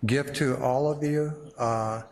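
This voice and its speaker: A middle-aged man giving public comment at a county board meeting, speaking haltingly with many fillers. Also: medium pitch, steady tone.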